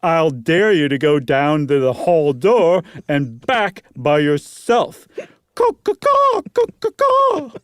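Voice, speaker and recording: A boisterous, mocking skeptic from a horror tale, acted out by a man. He teases and exclaims theatrically in a raised, very animated voice. The sound is close and dry. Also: fairly high pitch, slow, dry recording, exaggerated voice. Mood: taunting. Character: Meagle, smirking, boisterous, teasing, mocking young male skeptic. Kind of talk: dramatic reading of a horror short story.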